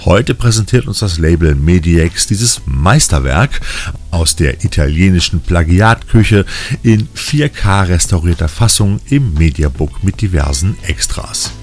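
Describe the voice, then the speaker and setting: A man's voice: sits low, lively intonation, a little slow. A man hosting a film news podcast, reading scripted previews of new releases. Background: faint music.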